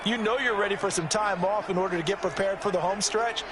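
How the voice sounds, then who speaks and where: A man's voice: high voice, fast talker, background noise. A male color commentator explaining American football plays in a conversational, analytical way.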